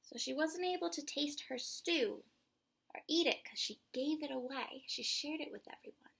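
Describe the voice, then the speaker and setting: A woman with a breathy voice reads aloud and explains simply to young children in an expressive, emphatic way. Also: high voice, normal speed. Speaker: children's story reader and host.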